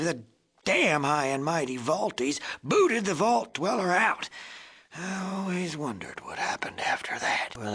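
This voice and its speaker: A man voicing a cantankerous, wisecracking old ghoul in a video game, with a raspy, wheezy voice and a folksy, crotchety drawl. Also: medium pitch, bright, forceful tone, heavily acted. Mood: reflective, folksy. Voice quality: raspy, gravelly, wheezy with a cough. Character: cantankerous, wisecracking old male ghoul, an irradiated undead survivor. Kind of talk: video game character acting.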